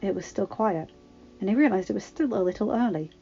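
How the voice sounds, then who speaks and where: A woman narrates a story in an expressive, scripted reading style. Her voice sits at a medium pitch, and the home recording is slightly dull, lacking some top end. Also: fairly quick, breathy edge, soft background music. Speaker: podfic narrator.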